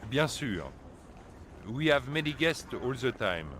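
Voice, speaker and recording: A man playing a chatty, gossipy French opera house worker in a video game, with a French accent and halting English. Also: mid-range voice, expressive, fairly bright tone, a little hiss or hum. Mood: chatty, insinuating. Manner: halting foreigner's English, insinuating. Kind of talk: scripted video game dialogue.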